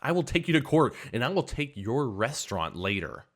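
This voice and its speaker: A man voicing an entitled, spiteful older woman server who scolds and rants loudly. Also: huge pitch swings, normal speed. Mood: shrill indignant. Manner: shrill, scolding, indignant.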